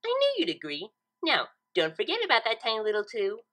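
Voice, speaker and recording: A bubbly female cartoon pony, acted out by a woman reader with a high, bright voice. She chirps along in a playful sing-song that leaps all over the place. She sounds relentlessly cheerful. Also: wildly swooping pitch, fairly quick, exaggerated voice.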